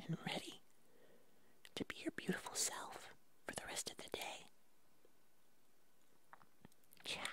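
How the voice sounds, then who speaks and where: A woman voicing a gentle, doting furry puppet creature in a soft, breathy whisper, murmuring soothingly in an ASMR roleplay. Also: fairly low pitch. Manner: slow, soothing, affectionate murmuring.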